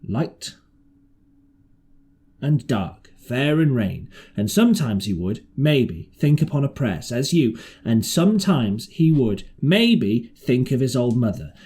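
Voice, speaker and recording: A man's voice: mid-range voice, sing-song, slow, warm tone, husky, full character voice. A man voicing a shrewd, half-crazed marooned seaman in an audiobook, with rustic, ungrammatical, repetitive sailor talk. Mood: insistent, confidential. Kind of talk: audiobook character voice.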